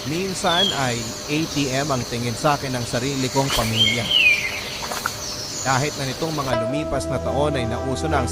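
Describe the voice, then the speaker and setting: A man's voice: medium pitch, lightly acted. A man playing a guilt-ridden overseas worker father, telling his life story as an earnest, sorrowful radio confession. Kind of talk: dramatic first-person radio confession. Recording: loud background music under voice.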